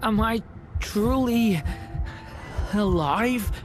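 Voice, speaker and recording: A woman voicing a gentle young dragon boy in an anime-style game, earnest and hesitant yet brave. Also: fairly high pitch, drawn-out words, music in the background. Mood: weak, fading. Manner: hesitant, earnest, soft-spoken.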